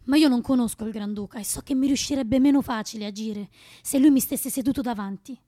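Actress as female revolutionary, solemn, compassionate, doubting, theatrical radio drama, higher register, close dry recording. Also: fairly quick, mellow, no echo, close to an everyday voice. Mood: doubtful, searching.

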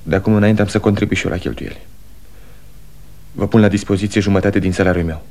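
An actor playing a brooding, resentful yet yielding grown son in 1960s radio theatre style, subdued and heavy. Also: male voice, on the low side, fairly even intonation, darkish tone, lightly acted.

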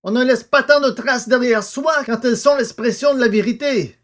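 An actor playing a curious gentleman friend who narrates a French detective story and its dialogue. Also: extremely high pitch, normal speed. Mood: animated. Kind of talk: scripted literary narration with dramatised dialogue.

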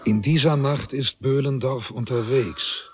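A man narrating a literary radio drama in a measured, solemn manner. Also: mid-range voice, faint music behind.

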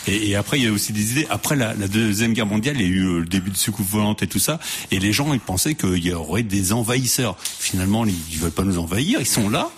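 A man hosting a casual radio talk show, talking quickly with many restarts. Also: fairly low pitch, lively intonation, a little hiss or hum.

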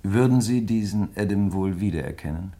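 An actor playing a grieving crime writer in a German radio play, speaking in a measured, reflective way with polite insistence. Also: male voice, low-pitched, mellow. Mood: probing, insistent.

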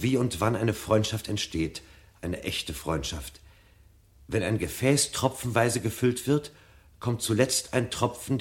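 An actor playing a brooding, restless, insistent husband who secretly devours forbidden books, in a 1970 German radio drama. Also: male voice, on the low side. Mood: musing, reading. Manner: insistent, shifting from musing to exasperated.

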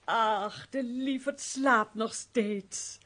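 An actress playing a playful, sociable countess in a period radio play, breezy, gushing and teasing. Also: female voice, fairly high pitch, extremely slow, fairly bright tone, full character voice. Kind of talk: Dutch radio play acting, formal period dialogue.

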